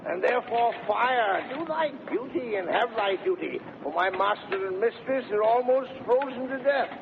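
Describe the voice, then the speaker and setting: A scratchy, phone-thin vintage radio play. a grumbling, bossy servant chilled to the bone, his voice high and gravelly, full of exclamations and complaint. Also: expressive, normal speed, exaggerated voice. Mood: shivering, complaining. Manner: exclamatory, complaining, stuttering with cold. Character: grumbling, half-frozen, bossy male servant back from a journey. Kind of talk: 1930s British radio Shakespeare comedy acting. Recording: scratchy, noisy, narrow-band 1930s radio recording.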